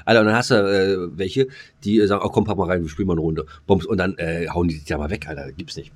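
Low, fast-talking, slangy man. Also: steady tone. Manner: slangy, crude, rambling. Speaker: podcast co-host. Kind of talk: casual podcast banter.

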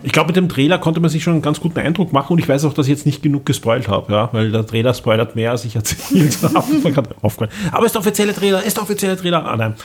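A man's voice: a little high, rapid-fire, few pauses, noisy background. An Austrian-accented man hosting a podcast, chatting casually and emphatically as he reviews, often repeating words. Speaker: podcast host.

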